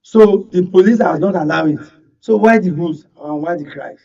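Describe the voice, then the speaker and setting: High, creaky-voiced male commentator. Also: normal speed, mellow. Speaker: panel guest, commentator. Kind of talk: political discussion. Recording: distant mic, dull sound.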